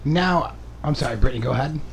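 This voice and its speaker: A man's voice: mid-range voice, quick pace, gravelly, slight background noise. A man hosting a comedy podcast in casual, crude chat, rambling, self-mocking and profane. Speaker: podcast host and stand-up comedian.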